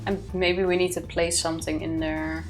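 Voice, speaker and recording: A woman's voice: low voice, flat delivery, normal speed, soft background music. A woman co-hosting a let's-play, chatting casually through puzzles with playful banter. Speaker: co-host playing a video game.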